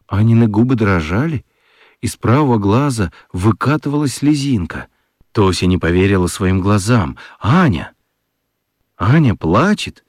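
A man narrating a children's radio play in an expressive storytelling style. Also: deep voice, no echo, studio quality.

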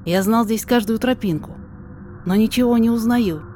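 A woman's voice: fairly high pitch, moderate pace, mellow, slight background noise, voice pushed up, lightly acted. A woman voicing a flustered, apologetic male guide, anxious and polite, in a verse audio story. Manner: agitated, deferential, emphatic. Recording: music behind the voice.

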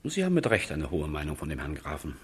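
A pedantic envoy in a period radio drama. The voice is deep and husky, swinging widely in pitch. He sounds pompous, fussy and condescending. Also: wildly swooping pitch, fast, exaggerated voice. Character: pedantic, pompous male envoy, stiff lover of order.

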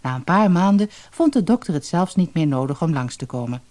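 A storyteller narrating a fairy-tale audiobook in an expressive way. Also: medium pitch, a little fast. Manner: expressive storytelling, acting out dialogue.

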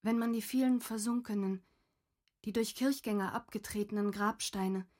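An actress as a contemplative young diarist, medium-pitched. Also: female voice, fairly even intonation, normal speed, darkish tone, natural voice. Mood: quietly contemplative. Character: reflective, gentle young woman reading from her diary. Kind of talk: scripted literary radio reading.

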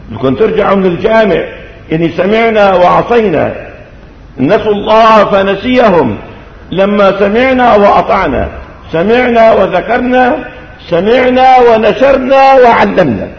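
An older man with a Syrian Arabic accent preaching in a mosque, teaching scripture in a didactic, measured way with deliberate phrasing. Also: high-pitched, creaky. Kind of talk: mosque sermon explaining scripture. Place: large reverberant mosque. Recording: dull echoey hall recording, long reverb.

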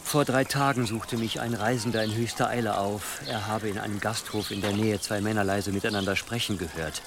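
A man's voice: on the low side, steady tone, fairly quick, close to an everyday voice. Man acting an earnest medieval envoy, noisy background. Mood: earnest, deferential. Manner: formal, deferential, insistent. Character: earnest, loyal medieval messenger reporting to his lord. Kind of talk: radio drama acting.

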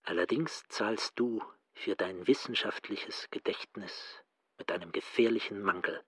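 An actor in a radio play playing a grave, philosophical inner voice, speaking in a deliberate, emphatic, rhetorical way. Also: male voice, fairly low pitch, a bit bright, slightly rough, no room sound. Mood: reproachful. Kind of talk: radio drama acting, literary monologue.

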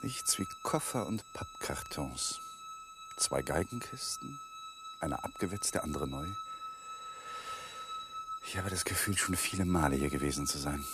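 A man's voice: very high voice, huge pitch swings, a little slow, long pauses, noisy background, heavily acted. An actor playing an awkward, intrusive young male lawyer in a German radio crime drama, courteous and hesitant. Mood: brooding inner monologue. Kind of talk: German radio crime drama, dialogue and hushed inner monologue.

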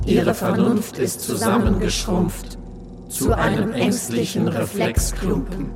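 A man voicing an all-ruling machine in a radio play, proclaiming in a solemn, breathy whisper. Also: high-pitched, slow pace. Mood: cold, scornful. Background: loud music.

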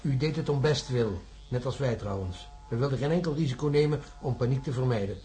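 A man's voice: medium pitch, fairly quick, dark tone, a little hiss or hum, close to an everyday voice. An actor playing a matter-of-fact, resigned male scientist who reasons pragmatically in a science fiction radio drama.

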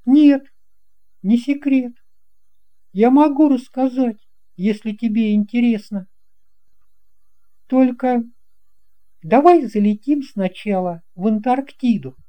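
A confident boy pilot from an audio fairy tale, acted out by a narrator. The voice is pitched high and stays soft-edged and unhurried. He sounds friendly and reassuring, like someone at ease with his job. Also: natural voice.

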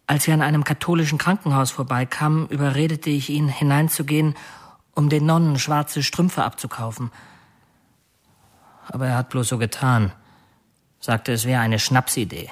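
An actor playing a man who confesses to a burglary turned killing, recounting it in measured, matter-of-fact detail in an audio drama. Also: a little high, natural voice. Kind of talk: audio drama monologue, confession under questioning.

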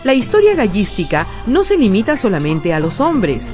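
A woman's voice: medium pitch, huge pitch swings, moderate pace. A woman reading scripted documentary narration as a radio narrator. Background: guitar music.

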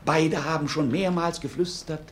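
A man's voice: fairly high pitch, steady tone, moderate pace, lightly acted. An actor playing a glib, mocking court fool in a radio play, declaiming wordy mock-ceremonious patter like a showman. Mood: grandiose showman patter. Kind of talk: theatrical radio play acting.

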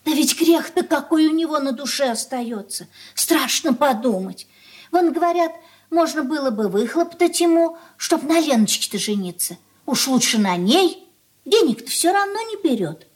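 Radio drama: anxious old merchant mother, highish voice, folksy, plaintive, confiding. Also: expressive, normal speed. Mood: worried. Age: older. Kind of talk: radio play acting.

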